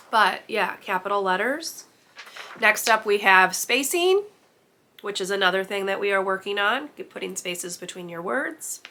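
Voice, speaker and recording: A young woman talks through her day in a relaxed, chatty vlog. Her voice is bright and clear-toned but a bit stuffed up from congestion. She explains things to her viewers as she goes. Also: medium pitch, slight background noise. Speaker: kindergarten teacher. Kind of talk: casual vlog chat. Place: at home.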